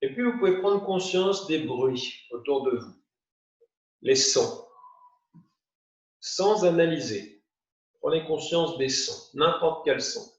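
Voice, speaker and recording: A man teaching yoga nidra, guiding a meditation in short, unhurried phrases with pauses. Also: fairly high pitch. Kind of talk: guided meditation, spoken instructions. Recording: muffled, roomy, distant from the mic.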